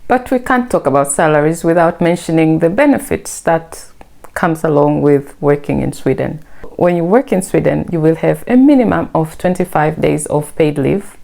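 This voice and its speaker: A woman's voice: deep voice, expressive, normal speed, gravelly, dark tone. A woman from Tanzania hosting her own vlog, explaining things in a friendly, conversational way. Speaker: vlogger. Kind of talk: scripted vlog narration.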